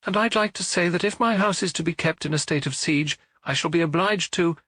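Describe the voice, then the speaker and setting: A man's voice: fairly high pitch, brisk, a bit bright, dry recording, voice pushed up. A man voicing a curt, indignant boarding-house proprietress with clipped, grudging answers, for an audiobook.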